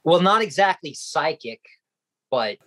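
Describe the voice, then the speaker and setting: A man's voice: fairly high pitch, expressive, a little slow, a bit bright. A man playing an earnest, curious American government agent in a radio drama. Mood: earnest, explaining.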